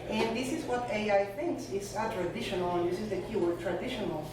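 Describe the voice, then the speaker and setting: A woman's voice: medium pitch, fairly quick. A woman giving a conference talk in a loose, conversational way with many fillers. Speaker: conference speaker. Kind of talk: presentation talk. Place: conference hall. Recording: echoey room from far off with noise.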